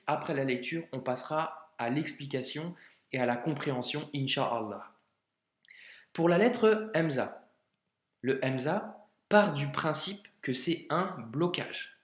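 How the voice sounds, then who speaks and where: French male tutor, slightly high-pitched, relaxed and encouraging, recorded with room echo. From France. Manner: casual, reassuring, frequent "hein" and "d'accord". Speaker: Arabic reading teacher. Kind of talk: friendly tutorial speaking directly to the learner.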